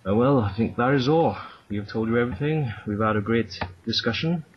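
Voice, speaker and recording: A man hosting a casual voice-call chat, chatty and laughing often, closing with thanks and shout-outs. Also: medium pitch, fairly quick. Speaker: channel host. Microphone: computer mic on a voice call. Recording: dull lo-fi voice-call audio with faint noise.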